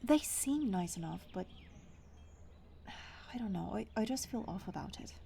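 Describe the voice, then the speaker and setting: A woman's voice: mid-range voice, expressive, measured pace, creaky, warm tone, a little hiss or hum. A woman playing a guarded, stubborn dweller of the wilds in a fantasy audio roleplay, speaking in an earnest, naturalistic, hesitant way. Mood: uneasy.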